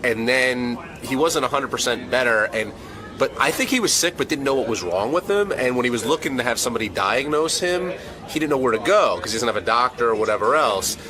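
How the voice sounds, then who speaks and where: A man gives a casual comment about a colleague, hedging and unsure of himself. A busy outdoor street with traffic and people is heard loudly behind him. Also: medium pitch, animated, fairly quick, a crowd in the background, poor audio. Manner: hesitant, unsure.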